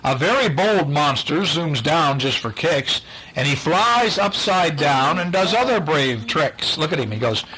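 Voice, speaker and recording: A man's voice: fairly high pitch, big pitch swings, measured pace, pushed and bright. A man reading a picture book aloud to young children, chatting and quizzing them, acting out sound effects playfully in a noisy home recording.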